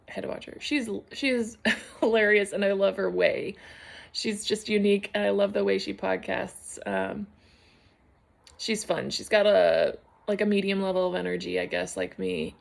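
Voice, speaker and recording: A woman's voice: mid-range voice, gravelly, a little mellow. A woman casually vlogging about knitting at home, rambling and hunting for words, a little unfocused after a concussion. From United States. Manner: rambling, searching for words, many fillers and restarts. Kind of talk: casual solo vlog chat.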